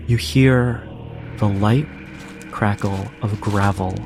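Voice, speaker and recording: A man narrating a tabletop role-playing game as game master, in deliberate, broken-up phrases. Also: fairly low pitch, steady tone, music in the background.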